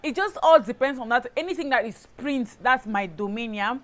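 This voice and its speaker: A woman with a Cameroonian accent telling a personal story in a casual vlog style, animated and emphatic, often checking in with the listener. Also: a little high, normal speed. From Cameroon, southwest region. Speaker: lifestyle YouTuber, host.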